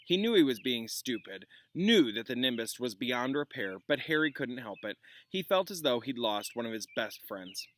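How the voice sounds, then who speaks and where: A man's voice: medium pitch, very animated, normal speed. A man reading a storybook aloud as a classroom teacher, expressively, with informal asides for pupils. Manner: informal, explains words for pupils.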